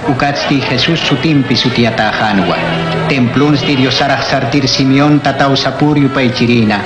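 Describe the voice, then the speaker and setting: A man narrating a Biblical radio drama in a measured storytelling manner. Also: medium pitch. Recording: echoey with loud music bed.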